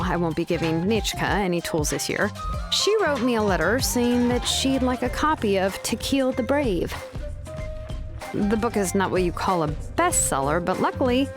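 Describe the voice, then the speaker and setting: A woman voicing a calm, sensible adult woman in a fantasy video game, talking in a measured, gently wry way. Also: mid-range voice, close to an everyday voice.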